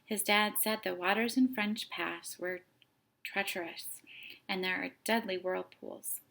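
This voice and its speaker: A woman reading a children's picture book aloud, with dramatic, deliberate phrasing as a storytime host. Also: mid-range voice, steady tone, creaky, fairly bright tone. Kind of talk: expressive children's story narration.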